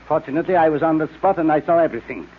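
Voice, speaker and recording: A man playing a smug, mercenary crook in a 1940s radio serial, speaking in a measured, self-satisfied way. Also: a little high, close to an everyday voice. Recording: muffled, faintly noisy old radio recording.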